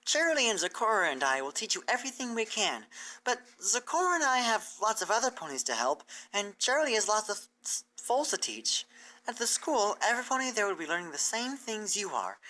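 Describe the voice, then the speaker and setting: A performer voicing a gentle, earnest female unicorn mentor pony in a cartoon fan fiction reading, encouraging and measured. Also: medium pitch, big pitch swings, pitched above the performer's own voice, poor audio.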